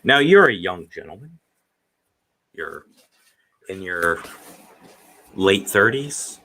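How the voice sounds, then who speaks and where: A man's voice: sits low, sing-song, slow pace, bright, forceful tone, slight background noise, rough recording. A man in his forties hosting a casual live stream, rambling and hesitant, with many fillers. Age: 40s. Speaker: stream host.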